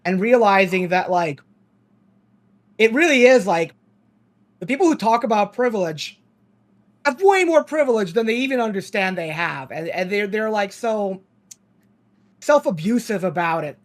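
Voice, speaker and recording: A man's voice: high-pitched, expressive, normal speed, pauses often. A man who grew up in Ukraine, a podcast guest in casual chat, rambling and sardonic, laughing often.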